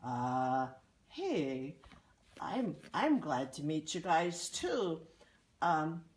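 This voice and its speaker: A woman voicing a friendly male snowman who stammers softly and sounds sleepy. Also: sits low, big pitch swings, very slow, full character voice. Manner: drowsy, stammering, soft.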